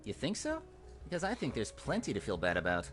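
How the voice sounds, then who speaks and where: Game role: bitter young male employee, offhand sarcasm, animated mid-range voice, light music underneath. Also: medium pitch, fairly quick. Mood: bitter, guilty. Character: guilt-ridden young man, a bitter house employee. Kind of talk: video game voice acting.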